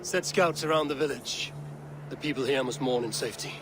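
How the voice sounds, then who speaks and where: Man acting a steady Viking warrior, noisy surroundings. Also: mid-range voice, brisk, lightly acted. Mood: solemn, steady. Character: calm, dutiful Viking warrior advising a grieving clan. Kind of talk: video game cutscene acting.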